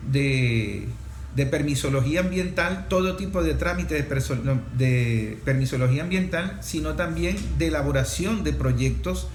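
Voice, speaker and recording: Venezuelan male host, fairly high voice, unscripted commentary, halting delivery, roomy echo. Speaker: talk show host. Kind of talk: unscripted opinion commentary and guest introduction. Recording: echoey room with faint background noise.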